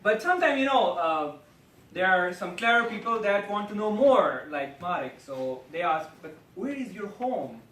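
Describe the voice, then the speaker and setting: A man, giving a dramatic club speech and swinging between loud exclamations and hushed asides. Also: high-pitched, expressive, normal speed. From Pakistan. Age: late 20s. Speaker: public speaking club member. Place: meeting room. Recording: echoey room, distant mic, faint noise.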